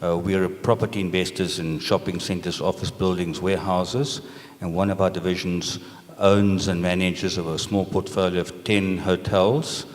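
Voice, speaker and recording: An older man with a South African accent, giving orderly, measured answers as a business panelist. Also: deep voice, level tone. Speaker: panelist, property and hotel businessman. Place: large indoor conference stage. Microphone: handheld microphone. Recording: reverberant conference hall sound.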